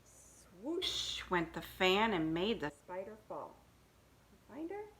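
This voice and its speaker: A woman's voice: mid-range voice, big pitch swings, slow, a crowd in the background. A woman reading picture books aloud to young children, with sing-song rhymes and simple asides about the pictures.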